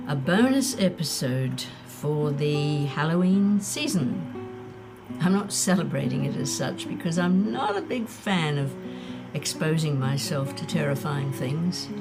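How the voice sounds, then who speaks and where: A woman's voice: deep voice, poor audio. A woman hosting an audio drama podcast, introducing an episode in a personal, spoken style.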